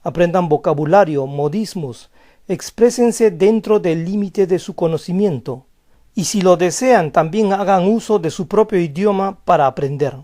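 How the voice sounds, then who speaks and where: A young man giving a scripted language lesson as a voice-over, calm and explanatory, reading example phrases slowly. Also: high-pitched. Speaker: language teacher.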